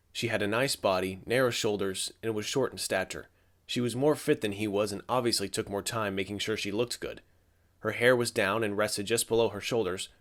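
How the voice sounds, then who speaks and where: Low-voiced young man narrating. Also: fairly bright tone. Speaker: audiobook narrator. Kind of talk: scripted audiobook narration.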